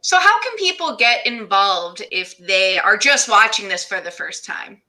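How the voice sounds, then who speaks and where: A woman's voice: mid-range voice, big pitch swings, unhurried, sharp tone. A woman hosting a casual livestream interview in an effusive, informal manner. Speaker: interview host.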